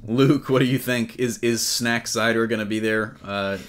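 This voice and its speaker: A man's voice: medium pitch, fairly even intonation, normal speed. A man hosting a casual entertainment news discussion in a conversational way, putting questions to his co-hosts. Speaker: podcast host.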